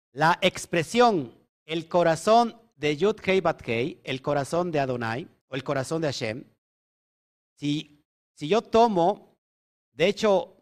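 A man teaching a sermon to a congregation, emphatic and often putting questions to his listeners. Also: fairly high pitch. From Mexico. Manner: emphatic, asks the audience questions. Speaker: preacher.

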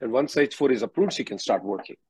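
A husky-voiced man giving direct, instructive legal advice as the attorney hosting a question-and-answer call. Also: medium pitch, animated, fast, darkish tone, dry recording. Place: conference call, close dry sound. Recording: dull, muffled conference-call audio.

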